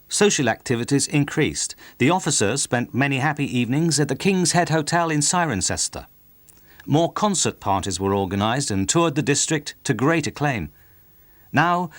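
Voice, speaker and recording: A man delivers scripted narration for a historical documentary. His mid-pitched voice is recorded dry, with very little room around it. He presents the material as the programme's narrator. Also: dry recording.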